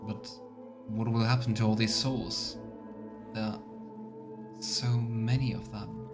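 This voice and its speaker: A man's voice: on the low side, level tone, slow pace, music in the background. A man hosting a live let's play, chatting casually and thinking aloud as he comments. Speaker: streamer, let's play host.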